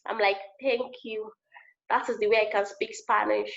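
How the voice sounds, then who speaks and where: A woman with a Nigerian accent, a Yoruba speaker, talking casually to a class as a guest teacher, animated and repeating herself for emphasis. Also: mid-range voice, normal speed, vocal fry. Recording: dull lo-fi video call audio.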